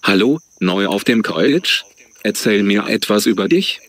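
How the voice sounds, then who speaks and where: A man's voice: on the low side, animated, moderate pace, fairly bright tone. A man asking friendly questions in a scripted practice dialogue.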